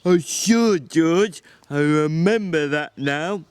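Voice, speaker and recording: A man voicing a simple-minded, childlike man in a story reading, eager and forgetful, with a halting, stammering delivery. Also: a little high, clean tone, no echo.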